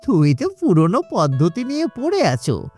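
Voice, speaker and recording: A man's voice: sits high, wildly swooping pitch, normal speed, darkish tone, faint music behind. A man voicing a sly, boastful swindling salesman in a children's cartoon, brash and wheedling. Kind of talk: cartoon story voice acting.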